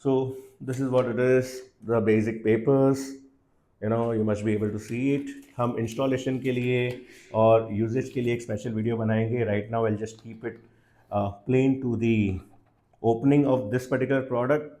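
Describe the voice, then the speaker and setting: An Indian-accented man hosts a casual product unboxing in a mellow, relaxed voice. He takes his time and improvises as he goes, slipping between two languages within a sentence. His pitch sits in an ordinary male middle range. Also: slow pace. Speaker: host of a product unboxing channel. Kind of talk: casual unboxing and first-impressions commentary, improvised.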